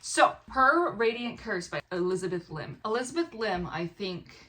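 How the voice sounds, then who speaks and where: A young American woman chatting casually to camera as a book vlogger, in an expressive, rambling way, often interrupting herself. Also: medium pitch, moderate pace, poor audio. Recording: clear background room noise.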